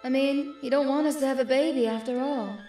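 An anxious young cartoon angel acted by a woman, high in pitch and apologetic. The delivery is hesitant and emotional, recorded roomy and away from the mic. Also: steady tone, a little fast, warm tone, recorded from a distance, soft background music, natural voice. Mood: weary. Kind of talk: comic dub acting.

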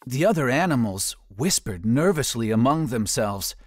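A man reading a children's story aloud as a trained voice actor, theatrical and deliberate in his emphasis. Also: medium pitch, expressive. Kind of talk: expressive children's storybook narration. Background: soft music.

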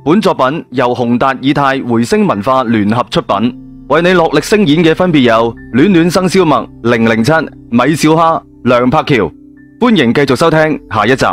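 A man's voice: medium pitch, expressive, moderate pace. A man reading a scripted announcement for an audio story programme. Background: background music.

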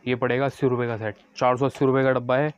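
A man's voice: mid-range voice, level tone, racing, clear, resonant tone, dry recording. A man giving a matter-of-fact product showcase as a wholesale shopkeeper, repeating prices and details. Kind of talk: product showcase sales talk. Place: small packed wholesale bangle shop, indoors. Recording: busy shop chatter behind the voice.

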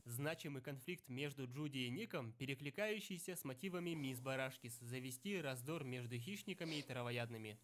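A man's voice: medium pitch, a little fast, few pauses, fairly bright tone. A man narrating a scripted film commentary.

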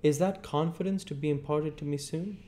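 A man's voice: on the high side, brisk, dark tone, a little hiss or hum, voice pushed up, natural voice. A man voicing a nervous, deferential young man who answers politely and hesitantly.

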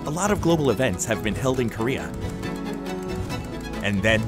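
Male promo voice-over, even read, clear music bed. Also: medium pitch, moderate pace. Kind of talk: scripted promotional narration.

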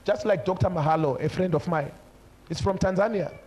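High-pitched Zimbabwean male preacher. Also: a little fast, darkish tone, slight background noise. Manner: emphatic, repeats short phrases. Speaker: preacher, church overseer. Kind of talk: sermon switching between English and another language. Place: large church hall before a congregation. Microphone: handheld microphone.

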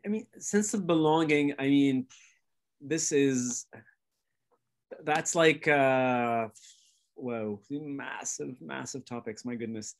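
A man's voice: medium pitch, slow pace, gravelly, pauses often. A man, talking thoughtfully on a video call from a home studio and searching for words as he goes. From Lebanon. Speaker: musician in conversation. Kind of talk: casual reflective conversation. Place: home studio room indoors.

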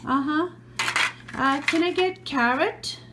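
A woman behind the camera in a home living room, gently and patiently playing pretend shop with a toddler. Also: sits high, takes it slowly, noisy background. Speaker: parent hosting a kids' channel. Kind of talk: playful pretend-play with a toddler.

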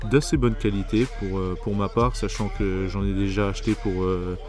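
Low-pitched man, casual product review. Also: steady tone, moderate pace, a little mellow, faint music behind. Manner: informal, hesitant delivery with mild slang.

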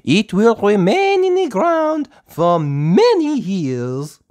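A woman voicing a kindly female gazelle schoolteacher in a storybook, with patient, drawn-out teacherly phrasing. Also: mid-range voice, wildly swooping pitch, a little mellow, pitched above the performer's own voice. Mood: patient, instructive.

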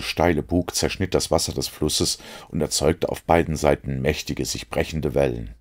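A man with a gravelly, husky voice reading literary narration as an audiobook. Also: very deep voice, darkish tone. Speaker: audiobook reader.

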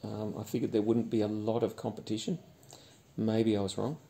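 Gravelly man rambling casually. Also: fairly low pitch, little pitch movement, a little fast, dark tone. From Australia. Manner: rambling, hesitant with many fillers. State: hoarse after a night out. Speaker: channel host. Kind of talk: casual vlog. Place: cluttered garage workshop.

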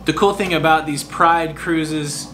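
An American man in his forties gives a casual news update as a video host. His voice sits a little higher than most men's. A clear hum of air-conditioning noise runs behind him in the hotel room. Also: fairly even intonation, a little slow, sharp tone. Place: small furnished hotel room, indoors.

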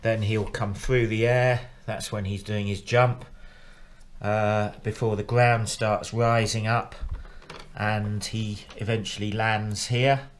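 A middle-aged man at home, explaining his model railway build in a halting way with many fillers, recorded on an action camera. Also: fairly low pitch, little pitch movement. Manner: hesitant, lots of uh fillers and restarts, plain explanatory. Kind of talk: casual show-and-tell progress update. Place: living room at home, indoors.

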